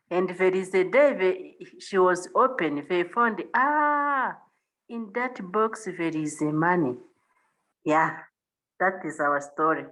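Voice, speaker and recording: A woman tells an informal story at a very slow pace. Her English is halting and in phrasing, and her pitch sits in the middle range. She speaks as a participant on an online call. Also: fairly bright tone, poor audio. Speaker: training participant.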